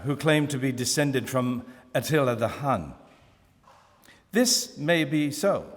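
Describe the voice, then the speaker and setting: A man reading a classic novel aloud at a live museum event, in a measured, expressive first-person narration. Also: mid-range voice. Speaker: reader. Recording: long hall reverb.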